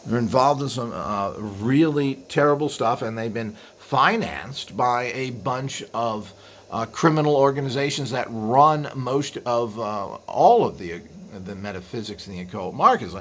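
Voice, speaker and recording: A man hosting a video with an unscripted explanatory monologue, rambling and halting as he trails into asides. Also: medium pitch, animated. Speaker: video host. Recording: clearly audible background noise.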